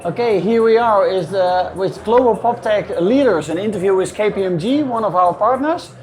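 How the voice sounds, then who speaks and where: A man with a Dutch accent hosting a podcast interview, speaking in simple, halting phrases. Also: high voice, darkish tone, faint music behind. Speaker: podcast host. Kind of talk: casual interview. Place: busy event venue.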